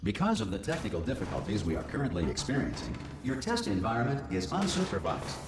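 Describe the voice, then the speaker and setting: A man voicing a calm automated male announcer in a test facility, reading notices in an even, bureaucratic tone. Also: on the low side, fast talker, roomy sound. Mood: calm formal. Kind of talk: formal prerecorded announcement.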